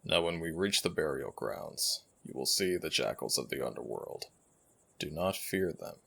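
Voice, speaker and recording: A man voicing an ancient jackal-headed god in an ASMR roleplay, gravelly and husky, speaking in stately, affectionate, gently teasing phrases to one listener. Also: very deep voice, expressive, normal speed, exaggerated voice. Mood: calm, instructing. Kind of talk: ASMR roleplay audio drama.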